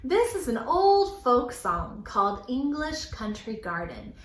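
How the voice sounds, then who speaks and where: Lady doing kids storytime, high sing-song voice, encouraging, slow pace, bit echoey room. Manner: animated, encouraging, sing-song child-directed talk. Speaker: children's library storytime host. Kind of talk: interactive storytime for young children.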